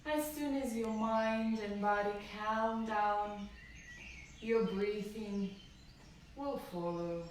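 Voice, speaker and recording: Slow, calm woman in an echoey room. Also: medium pitch. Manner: slow, calm, gentle guiding. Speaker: yoga teacher. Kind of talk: live-streamed yoga class instruction. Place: room at home. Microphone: camera from a distance. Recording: echoey room, voice far from the mic, faint noise.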